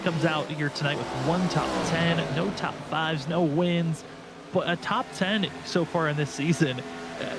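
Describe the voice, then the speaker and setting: A man with a slightly high-pitched voice commentates live on a motor race. He works as the play-by-play caller. Loud engine and vehicle noise runs behind his voice. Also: vocal fry. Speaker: play-by-play sim racing commentator.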